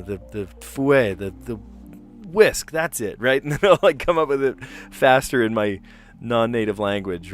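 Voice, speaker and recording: Man, 40s, lower voice, close desk mic, casual home puzzle stream with soft guitar behind. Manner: thinks aloud, easily amazed, chuckling. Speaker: puzzle livestreamer. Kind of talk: casual livestream commentary. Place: home room, indoors. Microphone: close podcast mic on a desk.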